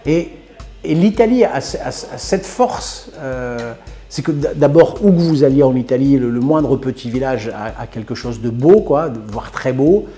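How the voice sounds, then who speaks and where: A man's voice: medium pitch, animated, unhurried, warm tone, faint music behind. A man in his sixties from northern France, a restaurateur telling his family story in an interview, thoughtful and often repeating words as he searches for them. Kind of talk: reflective interview talk.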